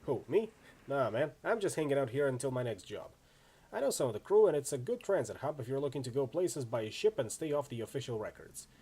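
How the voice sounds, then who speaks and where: A man's voice: medium pitch, very animated, a little fast, mellow, breathy edge. A man voicing a friendly, easygoing orc swordsman in a video game, chatty and full of laid-back street slang. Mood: friendly, breezy. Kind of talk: acted reading of role-playing game dialogue.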